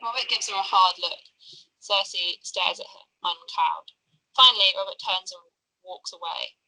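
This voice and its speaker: A woman's voice: mid-range voice, moderate pace, vocal fry, sharp tone, no echo, rough recording. A woman narrating the stage directions of a script at a table read, occasionally stumbling over the text.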